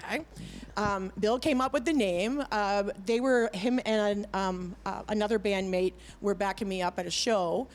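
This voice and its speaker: Chatty middle-aged woman, animated. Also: medium pitch, moderate pace. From Canada. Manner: chatty, animated, many fillers and restarts. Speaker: band vocalist, guest. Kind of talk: casual chat. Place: TV studio with audience.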